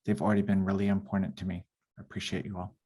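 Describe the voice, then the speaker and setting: A man's voice: low-pitched, completely flat delivery, racing, mellow. A man, a therapist and professor, introducing himself earnestly on a video call with frequent fillers. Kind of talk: casual self-introduction.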